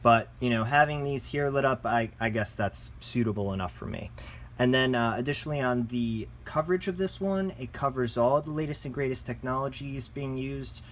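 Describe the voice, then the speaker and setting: A man's voice: medium pitch, normal speed. A man giving a casual, unscripted product review as a shop presenter, in a conversational, enthusiast way.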